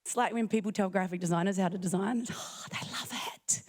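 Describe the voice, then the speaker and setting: A woman with a croaky, failing voice giving a chatty, self-joking conference talk. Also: mid-range voice, a little fast, darkish tone. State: croaky, losing her voice. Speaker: conference speaker. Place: conference hall.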